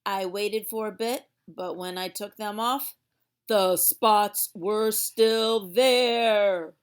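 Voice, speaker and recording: Female children's read-aloud narrator, unhurried and theatrical, mid-range voice, stretches key words for emphasis. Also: medium pitch, fairly even intonation, clean tone. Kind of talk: expressive storybook narration for young children.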